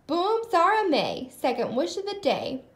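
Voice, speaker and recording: A woman plays an eager little genie girl from a picture book. The voice is high, bouncy and very lively in pitch, with some room echo around it. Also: unhurried, heavily acted. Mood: excited. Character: sweet, eager young girl genie in training.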